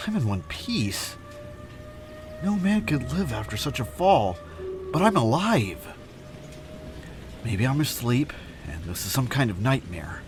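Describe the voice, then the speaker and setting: An actor playing an earnest, principled young scientist hero with melodramatic delivery in an audio drama. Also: male voice, medium pitch. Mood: amazed.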